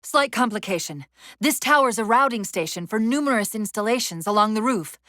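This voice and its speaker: An actress playing a female military AI companion in a video game, urgent and witty but fraying under strain, with crisp tactical delivery and dry sarcasm. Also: on the high side, expressive, brisk, fairly bright tone, no room sound. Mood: focused, briefing. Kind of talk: dramatic game voice acting.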